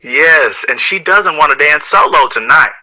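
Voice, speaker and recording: A man's voice: fairly high pitch, expressive, moderate pace, pushed and bright, poor audio. A man acting in an audio drama as a witty, theatrical jazz composer with arch, playful, elaborate phrasing. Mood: mischievous, grand.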